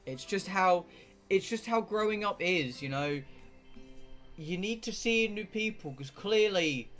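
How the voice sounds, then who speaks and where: A man's voice: high voice, expressive, a little slow, fairly bright tone, faint music behind. A British man live streaming a game, rambling casually to his viewers in a blunt, sweary, joking way. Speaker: live streamer playing a visual novel.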